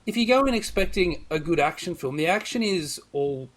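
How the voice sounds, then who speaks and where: A man's voice: a little high, lively intonation, normal speed. A man hosting a casual film discussion. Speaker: host of a film review show.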